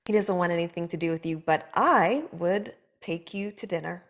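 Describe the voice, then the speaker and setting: A woman reading a dry, sardonic young writer in a comedic table read, with deadpan, teasing delivery. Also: on the low side, normal speed, lightly acted.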